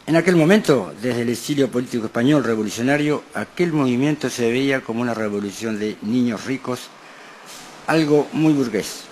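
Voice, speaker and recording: A man's voice: medium pitch, brisk, a little mellow, poor audio. A man co-hosting a radio show, reading a printed interview aloud. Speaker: radio co-host. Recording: noticeable background noise.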